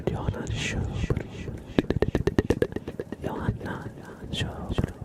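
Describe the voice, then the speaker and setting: A man hosting an ASMR livestream, chatting casually to viewers in a soft, breathy, gentle whisper close to the microphone.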